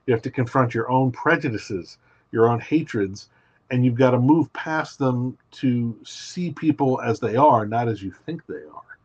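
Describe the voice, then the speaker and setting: A man on a remote call, recorded with dull, slightly lo-fi sound. His pitch sits in the usual middle range for a man. He talks casually and at length, walking through a story step by step and filling the gaps with "you know". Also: a little slow, darkish tone. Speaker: podcast guest, writer. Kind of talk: casual interview talk. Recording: dull lo-fi audio.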